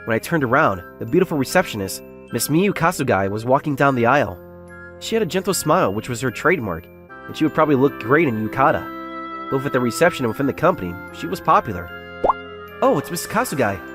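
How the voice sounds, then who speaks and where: A man's voice: medium pitch, sing-song, quick pace, fairly bright tone, soft background music. A man playing an earnest, self-doubting young salesman who secretly feels like a nerd, narrating his own story in a comic dub. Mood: confiding, wistful. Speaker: narrator and lead character of a romance comic dub. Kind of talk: first-person dramatised narration.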